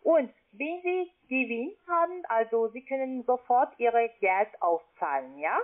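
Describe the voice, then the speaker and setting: Female telesales agent, non-native with foreign accent, highish voice, halting phrasing, narrow telephone sound. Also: lively intonation. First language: not German. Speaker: call-centre sales agent. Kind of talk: phone sales pitch. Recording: thin, band-limited phone call.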